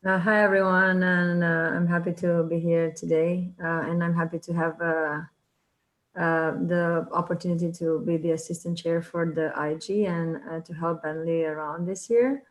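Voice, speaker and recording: A woman from Romania with a Romanian accent, introducing herself informally in a meeting and haltingly searching for words. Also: fairly low pitch, little pitch movement, low-quality recording. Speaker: high school ESL teacher and assistant chair.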